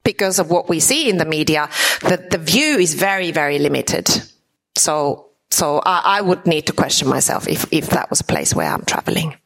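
A woman's voice: deep voice, very animated, moderate pace, vocal fry, fairly bright tone, rough recording. Chatty woman lecturer. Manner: conversational, frequent fillers and checking in with "okay?". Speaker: university lecturer. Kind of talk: classroom lecture with student questions.

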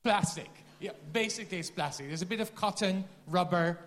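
A man with a Dutch accent, a business school dean giving a lively conference talk and quizzing the audience. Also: high-pitched, fairly quick. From Netherlands. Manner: lively, quizzes the audience, self-deprecating asides.

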